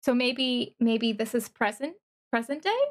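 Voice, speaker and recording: A woman giving casual, excited reaction commentary as a streamer, thinking aloud haltingly with interjections. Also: on the high side, a little hiss or hum. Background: music from the watched video.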